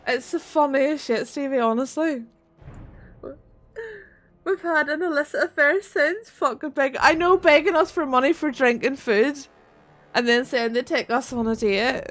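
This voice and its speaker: A woman's voice: high voice, unhurried, a bit bright. A woman with a rural Northern Irish accent chatting on a gaming livestream, swearing freely and laughing often as she reads chat. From Northern Ireland. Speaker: streamer.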